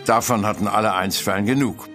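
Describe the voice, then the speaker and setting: A man's voice: on the low side, steady tone, brisk, a bit bright, soft background music. A man giving formal, scripted dramatic narration as the storyteller of a German audio drama.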